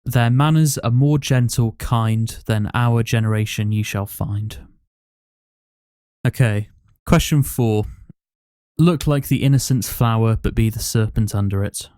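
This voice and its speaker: A man's voice: medium pitch, measured pace, darkish tone, smooth, no room sound, clean studio sound. A man hosting a casual podcast quiz, reading out questions and answers. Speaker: podcast host and quizmaster.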